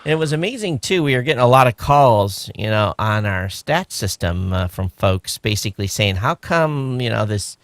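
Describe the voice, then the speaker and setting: A man with a fairly low voice co-hosts a casual podcast chat, rambling along with plenty of "you know"s. The sound is dry and close, with no room echo. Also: moderate pace, hardly any pauses. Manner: relaxed, rambling with frequent fillers. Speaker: podcast co-host.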